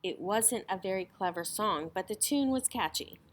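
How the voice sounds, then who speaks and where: A woman's voice: mid-range voice, expressive, moderate pace. A woman storyteller reading a children's story aloud in a dramatic style.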